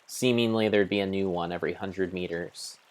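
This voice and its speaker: A man's voice: low voice, steady tone, a little fast. A man giving a solemn, theatrical mock-dramatic narration of a video game, as if reading a grand storybook. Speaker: streamer narrating his game play.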